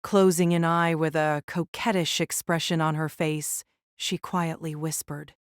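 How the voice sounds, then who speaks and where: A woman's voice: deep voice, level tone, a little slow, no echo, clean studio sound. A woman reading scripted narration for a fantasy story. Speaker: narrator of a fantasy audio drama.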